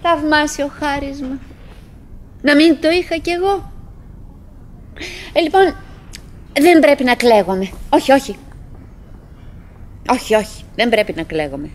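An actress playing a cheerful, fretful middle-aged wife who muses to herself in fragments and sighs in a live stage monologue. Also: female voice, high voice, lively intonation, pauses often. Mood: forced gratitude. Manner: fragmented musing with sighing exclamations. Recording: noisy 1979 live theatre recording.

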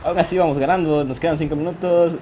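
A man talks over his online game in an excitable, self-mocking way. He laughs often and jokes about his own mistakes. The sound of the game plays clearly behind his voice. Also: on the high side, quick pace, highs cut off. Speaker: gaming youtuber. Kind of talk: live gameplay commentary. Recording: game audio noise behind the voice.

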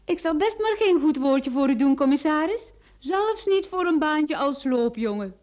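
A bold female private detective in a radio drama. Her voice is high and very animated, and she teases with playful self-assurance. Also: normal speed. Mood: teasing, assured. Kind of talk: Dutch radio play acting.

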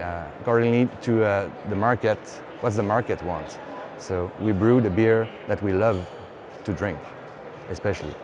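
Man in his thirties, Quebec French accent, halting English, lowish voice, lapel mic, noisy festival hall. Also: highs cut off. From Quebec, Canada. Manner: halting, many fillers and restarts. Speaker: brewery founder. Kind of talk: on-camera interview answer. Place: indoor beer festival hall. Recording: hall noise behind.

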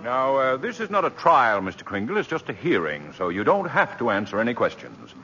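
An actor playing a harried, blustering American prosecutor in 1940s radio drama, brisk and officious. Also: male voice, medium pitch, sing-song, over music. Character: harried, blustering male assistant district attorney. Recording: muffled, noisy 1940s radio recording.

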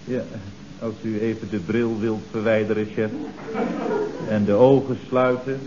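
An actor playing a meek, deferential employee in a comic radio play, hesitant, stammering and politely evasive. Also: male voice, lowish voice, level tone, unhurried, natural voice. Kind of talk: comic radio play acting, around 1970. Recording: muffled, noisy vintage radio recording.

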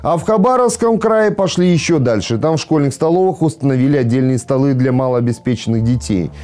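A man's voice: medium pitch, expressive, fairly quick, a little mellow. A man in his thirties presenting scripted news in a studio with an ironic, sarcastic slant.

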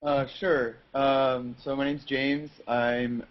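A man's voice: mid-range voice, little pitch movement, slow pace. A man asking a rambling, earnest question as a student on a video call. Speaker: student caller. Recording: muffled video-call audio.

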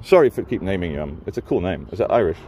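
A man's voice: sits low, very animated, racing, dark tone, a little hiss or hum. Playful man vlogging outdoors on a phone. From Scotland. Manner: playful, teasing, politely argumentative. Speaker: vlogger filming a confrontation. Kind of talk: chatty, joking running commentary to camera. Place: outdoors by a gate and car park. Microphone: handheld phone camera.

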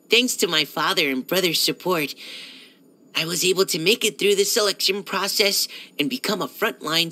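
An actor playing a shy, earnest, polite young knight surveyor in a video game, speaking hesitantly and eager to please. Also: male voice, sits high, pushed and bright, full character voice. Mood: earnest, fulfilled. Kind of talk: scripted video game character lines.

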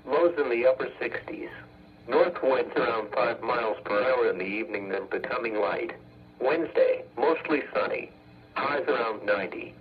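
A synthetic male text-to-speech voice reading an automated weather bulletin as a radio announcer, in measured, formulaic segments. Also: medium pitch. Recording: muffled weather radio broadcast.

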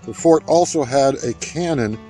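A man reading a scripted documentary narration in a steady, factual way. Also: medium pitch, moderate pace, faint music behind. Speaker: narrator of a park history video.

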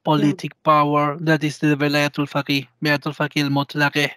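A male podcast guest with an Argentinian accent, a native Spanish speaker. He talks in halting, non-native English, pausing to find words. The sound is dry, with no room echo. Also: fairly high pitch, completely flat delivery, bright, forceful tone. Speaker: podcast guest, professor of Persian. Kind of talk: interview conversation. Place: indoor room, video call.

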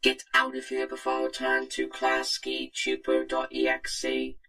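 A synthetic vocoder voice playing an animated talking logo creature in a flat, buzzy, robotic monotone. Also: very high voice, moderate pace, loud music behind the voice. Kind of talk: scripted cartoon dialogue through a vocoder.

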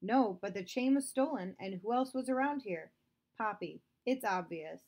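A woman reading aloud, voicing a stern, domineering father who accuses a girl of theft, louder and higher than her narration. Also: normal speed, close to an everyday voice.

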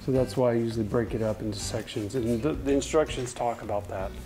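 A man's voice: mid-range voice, fast, dark tone. A man in a workshop talking casually while he brushes and wipes stain, rambling and correcting himself mid-sentence, recorded on a lapel mic. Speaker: furniture refinisher. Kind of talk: casual how-to narration while working. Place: indoor workshop at a paint-spattered table. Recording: music playing under the voice. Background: music.

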